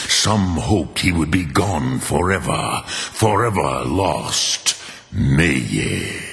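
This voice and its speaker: Ancient male dragon elder, fantasy game, extremely slow solemn delivery, gravelly, long cavernous reverb. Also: sits low, a bit bright, heavily acted. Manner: slow, deliberate, solemn, formal speech. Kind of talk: fantasy game character acting.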